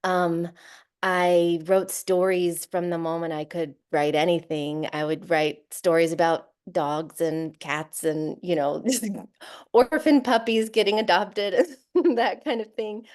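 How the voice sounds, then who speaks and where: Woman author guest, lower pitch, relaxed thoughtful talk with fillers. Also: smooth. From Central Florida, USA. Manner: thoughtful, many fillers, light laughs. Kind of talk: casual interview talk.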